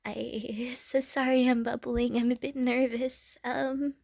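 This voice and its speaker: Woman voicing a high-pitched, bubbly water slime girl. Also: level tone, takes it slowly, vocal fry, darkish tone, dry recording, studio quality, full character voice. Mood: shy, nervous. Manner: childlike, playful, gushing, punctuated with little bloop noises. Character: bubbly, innocent young female water slime creature. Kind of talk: audio roleplay addressed to the listener.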